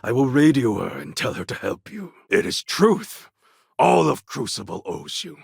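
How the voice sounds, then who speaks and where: An actor playing a proud, warlike clone of a Mongol conqueror in a video game, with a gravelly, husky voice and a deliberate, grandly formal delivery. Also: male voice, medium pitch, sing-song, no echo, studio quality, heavily acted. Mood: measured, earnest. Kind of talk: video game character acting.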